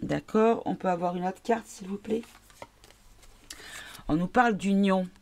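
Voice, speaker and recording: A woman giving a casual card reading, tired and congested from a cold, halting as she searches for her words. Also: mid-range voice, vocal fry, darkish tone, a little hiss or hum. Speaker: card reader.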